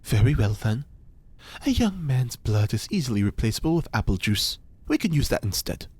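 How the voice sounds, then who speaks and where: A man's voice: medium pitch, very animated, moderate pace, darkish tone, breathy edge. An actor playing an eccentric, pompous male scientist who lectures grandly and theatrically in an audio drama. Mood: lecturing. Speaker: reporter's informant.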